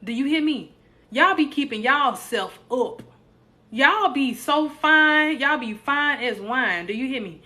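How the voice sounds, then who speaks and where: A woman's voice: high-pitched, measured pace. A woman, hosting a casual live stream, reading out viewer comments and hyping up her chat. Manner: reads out viewer comments and hypes the chat, animated and playful.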